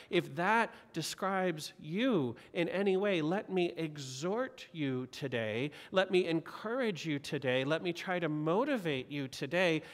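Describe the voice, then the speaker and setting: A man in his forties preaching a sermon from the pulpit, earnest and exhorting, with repeated rhetorical questions. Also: on the high side. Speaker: pastor. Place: large church sanctuary. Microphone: pulpit mic. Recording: long reverb of a church hall.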